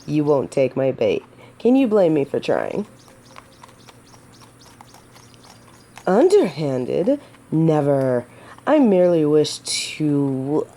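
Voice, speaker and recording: A woman's voice: deep voice, sing-song, slow, dark tone, full character voice. A woman playing a gallant, teasing yet earnest female knight in a romantic fantasy audio drama, with formal, old-fashioned phrasing. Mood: playful, teasing.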